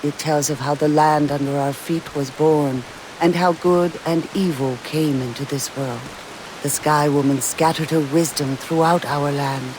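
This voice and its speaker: An actor playing a solemn, grateful Native elder man who speaks formally and in a measured way, like a storyteller in a video game. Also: fairly high pitch, steady tone, background noise, natural voice. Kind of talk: video game dramatic acting. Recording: music and rain behind the voice.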